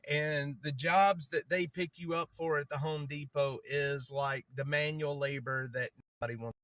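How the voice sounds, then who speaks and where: A man's voice: on the high side, fairly even intonation, moderate pace, fairly bright tone, no echo. A man with a stutter, chatting casually on a livestream from a city street while moving around, rambling and repeating himself.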